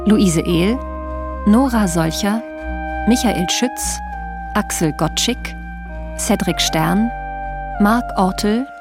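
A woman reads formal closing credits as a radio announcer. Her voice is medium in pitch and neutral, and she takes each item very slowly. Music plays clearly underneath her. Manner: measured, neutral list reading.

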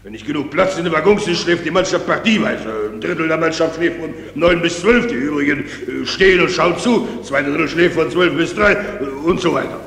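An actor in a German radio drama playing a pompous, doddering general who gives pedantic, repetitive orders in a creaky voice. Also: male voice, a little high, a little fast, few pauses, fairly bright tone. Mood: fussy, commanding. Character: pompous, doddering male general inspecting troops. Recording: very echoey hall, long reverb.

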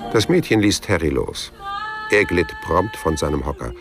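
A man's voice: extremely low pitch, big pitch swings, moderate pace, gravelly, music in the background, exaggerated voice. An actor playing a laconic, world-weary private detective who narrates his story dryly in a noir radio drama. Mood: dry, wry. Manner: dry, laconic. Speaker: narrator and lead character.

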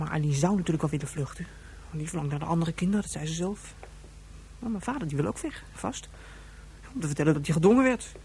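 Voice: medium pitch, creaky, a little hiss or hum, lightly acted. A performer playing a frustrated, restless young captive in a radio drama, brooding and thinking aloud. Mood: wistful.

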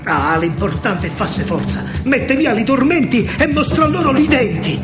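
High, animated Roman man, theatrical storyteller. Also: loud music behind the voice. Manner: theatrical, declamatory, dramatic pauses and drawn-out words. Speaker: actor-storyteller in a solo stage show. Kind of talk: dramatic verse narration in Roman dialect.

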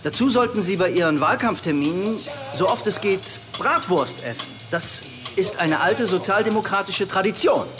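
Eager-to-please male consultant, high animated voice, polite, hesitant, radio satire. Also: moderate pace, music in the background. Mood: ingratiating. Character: ingratiating, flustered male campaign consultant. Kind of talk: satirical radio drama acting.

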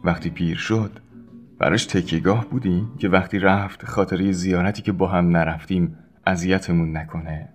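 Low-voiced man reading over soft music. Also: fairly even intonation. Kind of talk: sentimental scripted radio tribute reading.

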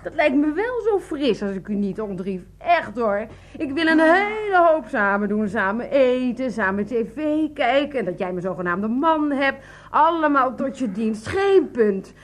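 A woman playing an aggrieved, self-pitying sick wife in a radio play, complaining with a sharp, sarcastic tongue. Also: high-pitched, big pitch swings, measured pace, hardly any pauses. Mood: peevish, scornful.

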